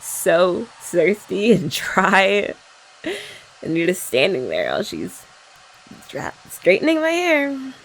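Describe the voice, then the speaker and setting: A young woman in a sweet, chatty girlfriend roleplay for ASMR, talking softly and often laughing gently. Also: on the low side, vocal fry, slight background noise, low-quality recording.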